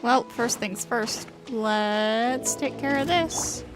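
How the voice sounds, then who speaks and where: A woman's voice: a little high, slow. A woman giving casual live gameplay commentary as a streamer, with a blunt, offhand way of talking. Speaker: game streamer. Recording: game music behind the voice.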